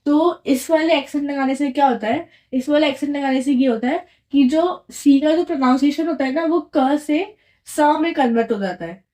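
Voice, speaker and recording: A woman teaches in a patient, repetitive way, pausing often to check that the listener follows. Her voice sits a little high, yet its tone is mellow and unforced. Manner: patient, repeats and checks in with "right?". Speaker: French teacher. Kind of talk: explaining a lesson at a whiteboard.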